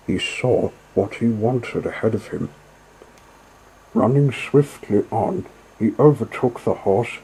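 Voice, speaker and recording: Male storyteller, scripted story narration, slow pace, mellow and very husky. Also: lowish voice, rough recording. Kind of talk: scripted audiobook narration of a children's classic.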